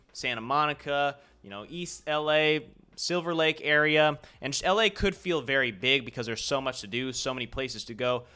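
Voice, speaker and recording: A man's voice: medium pitch, bright, forceful tone, clean tone. A young man, a real estate agent, talking loosely through a map tour of a neighborhood with casual fillers and restarts.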